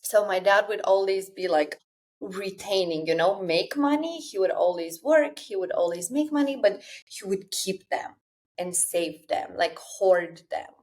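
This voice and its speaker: A woman's voice: mid-range voice, expressive, unhurried, a little mellow. A woman in her thirties from Romania with a Romanian accent, speaking English as a second language while hosting a solo podcast in an earnest, confiding way straight to her listeners. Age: 30s. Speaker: podcast host. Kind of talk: solo personal podcast monologue.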